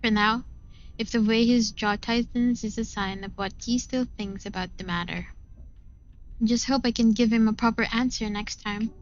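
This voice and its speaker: A woman's voice: fairly high pitch, fairly even intonation, normal speed, lo-fi sound. A woman reading first-person visual novel narration in a dramatic storytelling style. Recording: music behind the voice.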